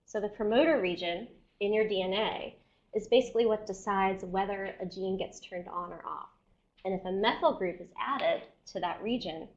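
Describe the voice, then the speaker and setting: A woman scientist giving an academic seminar lecture, explaining step by step and often checking in with her listeners, while losing her voice and clearing her throat. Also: medium pitch, low-quality recording.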